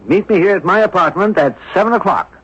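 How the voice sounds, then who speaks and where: Urbane amateur sleuth, radio mystery: shrewd, probing, animated intonation, slightly high register, lo-fi sound. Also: male voice, fast talker, a little hiss or hum. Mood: genial, teasing. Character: shrewd, genial, cultured male amateur sleuth. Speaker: actor in a radio mystery drama. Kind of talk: radio drama acting. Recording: dull lo-fi radio broadcast.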